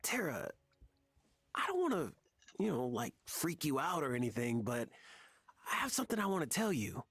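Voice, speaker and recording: A man's voice: on the high side, huge pitch swings, moderate pace, long pauses, a bit bright, slightly rough, no echo, full character voice. An actor voicing a nervous, easygoing young man with a hesitant, casual manner in a video game. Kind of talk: video game cutscene acting.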